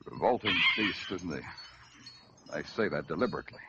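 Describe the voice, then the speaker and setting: A dry, hard-boiled detective. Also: male voice, sits low, lively intonation, brisk, pushed and bright, a little hiss or hum, full character voice. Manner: terse hard-boiled narration, dry wit. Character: tough, wry male police detective narrator. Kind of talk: 1940s radio acting. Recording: lo-fi old radio recording with music.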